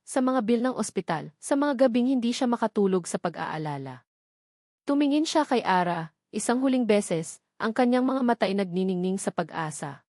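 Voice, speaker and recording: A woman's voice: medium pitch, a little mellow, no echo, studio quality. A woman with a Filipino accent reading a scripted dramatic story as its narrator.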